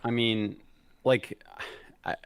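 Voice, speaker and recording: A man's voice: medium pitch, fairly even intonation, extremely slow, gravelly, clean tone, no echo. A man in his thirties, a guest on a video call, talking hesitantly with many fillers and restarts. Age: 30s. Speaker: guest mountain biker. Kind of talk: casual interview chat.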